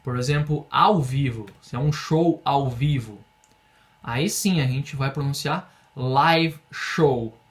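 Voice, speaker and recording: Patient Brazilian man teaching English. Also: mid-range voice. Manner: patient, explains slowly, drills phrases for repetition. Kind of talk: online language lesson.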